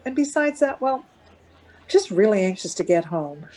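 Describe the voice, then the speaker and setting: Animated, mellow-voiced anxious mother. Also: medium pitch, moderate pace. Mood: anxious, patient. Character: weary, anxious mother and college teacher, reasonable. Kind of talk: radio play acting.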